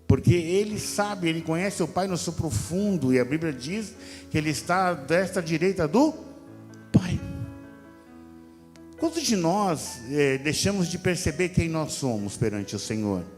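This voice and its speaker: Brazilian pastor preaching in a reverberant hall. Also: male voice, fairly high pitch, animated, a little slow, a little mellow. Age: 50s. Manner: emphatic, repetitive, checks in with listeners using rhetorical questions. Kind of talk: sermon, conversational exhortation to a congregation. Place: church hall. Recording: reverberant hall with music underneath. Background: music.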